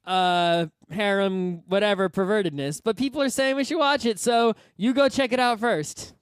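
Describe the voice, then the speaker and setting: A man's voice: sits high, normal speed, clear, resonant tone, dry recording. A man co-hosting a podcast in casual conversation, blunt and insistent as he banters. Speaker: podcast co-host.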